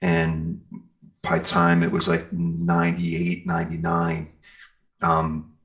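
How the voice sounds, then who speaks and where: A man's voice: low-pitched, monotone, takes it slowly, fairly bright tone. A middle-aged American man chatting casually in an interview as a musician, rambling a little and searching for words.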